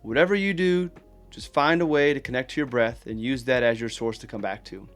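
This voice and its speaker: A man leading a guided meditation, giving gentle, plain-spoken, encouraging instruction with unhurried pauses. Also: mid-range voice, soft background music.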